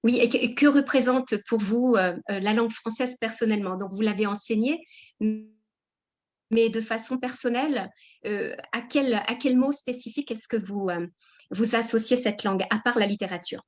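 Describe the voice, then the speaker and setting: A woman's voice: a little high, moderate pace. Woman asking a polite, hesitant question. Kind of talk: audience question on a video call.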